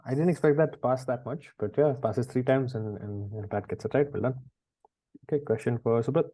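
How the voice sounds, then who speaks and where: A man's voice: medium pitch, brisk, warm tone, dry recording. A man hosting a quiz, reading questions and chatting conversationally with the players. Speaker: quiz host.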